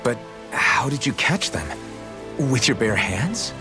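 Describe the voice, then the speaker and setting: An earnest young hero with lively intonation. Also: male voice, medium pitch, takes it slowly, over music. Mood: curious. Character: sincere, kindly young male hero. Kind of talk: video game cutscene acting.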